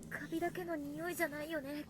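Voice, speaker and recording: An actress playing an uneasy high school girl in a horror game. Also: flat delivery, very fast, close to an everyday voice. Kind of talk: Japanese game voice acting. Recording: loud noise, quiet game playback.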